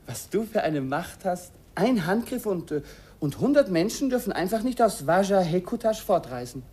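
A man's voice: high voice, sing-song, normal speed, mellow, husky, exaggerated voice. An actor playing a shy, hesitant young German man in a radio play. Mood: curious. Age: young adult. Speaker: Andi, young German student. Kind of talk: 1950s radio play acting.

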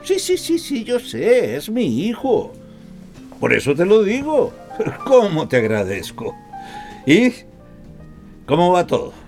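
Casting: middle-aged Costa Rican dad, radio drama, crafty and jovially scornful, very animated pitch, unhurried. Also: male voice, soft background music, heavily acted. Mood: smug, amused. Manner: chuckling, needling, folksy. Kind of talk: radio drama acting, one side of a phone call.

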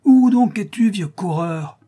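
A man voicing a porter's shrill, yelping old wife in a storybook, scolding and suspicious. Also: lively intonation, unhurried, warm tone, slightly rough, heavily acted.

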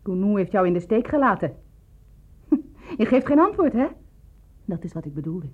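A woman in a radio drama playing a lonely, bereaved woman, coolly probing and insinuating in measured, clipped phrases. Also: mid-range voice, very animated, husky. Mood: probing, pointed. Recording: narrow, dull lo-fi radio play recording.

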